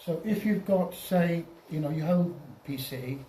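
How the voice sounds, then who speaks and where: Man, informal tutorial presenter, halting restarts, roomy echo, distant mic with faint noise. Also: fairly high pitch, warm tone. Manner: halting, repeats and restarts phrases. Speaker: presenter at an amateur radio club. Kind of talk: informal software tutorial over slides.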